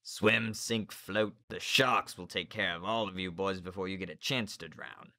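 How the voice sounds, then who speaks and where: Man playing a gruff, menacing old schoolmaster. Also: deep voice, normal speed, sharp tone, dry recording. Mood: gloating. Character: mean, bullying older grammar teacher and orphanage escort.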